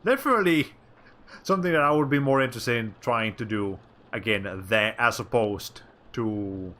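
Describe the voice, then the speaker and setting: A man's voice: medium pitch, expressive, moderate pace, bright, forceful tone. A man from Latin America streaming a game, chatting casually in a rambling, self-correcting way with wry asides. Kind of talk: casual chat while playing a game.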